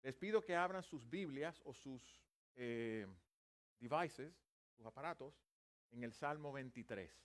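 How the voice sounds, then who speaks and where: A man's voice: mid-range voice, animated, a little slow. A man in his fifties who is a native Spanish speaker, preaching a sermon in a church, with self-deprecating humor and earnest Bible teaching. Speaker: pastor. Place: church sanctuary.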